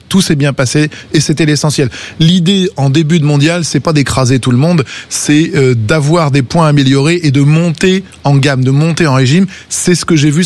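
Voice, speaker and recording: A man giving football analysis on a radio panel, opinionated and animated, thinking aloud. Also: a little high. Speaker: football pundit.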